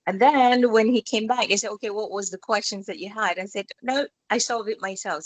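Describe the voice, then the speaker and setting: A woman, born in Malaysia, answering interview questions in a calm, reflective way, speaking English with loose grammar and spiritual phrasing. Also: mid-range voice, dry recording, rough recording. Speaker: skin expert interviewee. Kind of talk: reflective casual interview talk.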